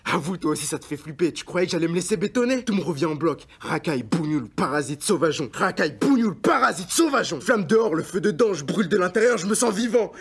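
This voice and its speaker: A man's voice: sits high, lively intonation, quick pace, few pauses, slightly rough, heavily acted. An actor playing a young man born in France, performing a slam poem with punchy rhythm and street slang. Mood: furious. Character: angry, restless young man full of pent-up rage. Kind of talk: performed slam poetry, film drama.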